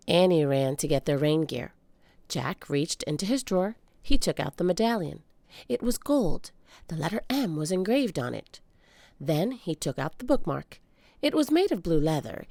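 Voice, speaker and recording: A woman reads a children's story aloud in an animated, dramatic way. Her voice sits a little low but swings widely in pitch. The sound is dry and close. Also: no echo. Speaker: children's book reader. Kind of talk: expressive read-aloud storytelling.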